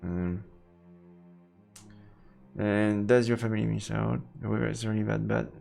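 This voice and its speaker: A man doing live let's play commentary and reading game text aloud, halting and repeating words as he goes. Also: fairly low pitch, steady tone, creaky, a little mellow. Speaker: game streamer. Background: faint game music.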